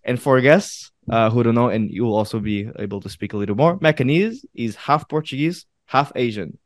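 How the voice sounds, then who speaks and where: A man's voice in a middle pitch, recorded dry and close with no room echo. He hosts a casual podcast in an animated, laughing manner and stresses points by saying them twice. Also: a little fast. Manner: animated, laughing, repeats phrases for emphasis. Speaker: podcast host.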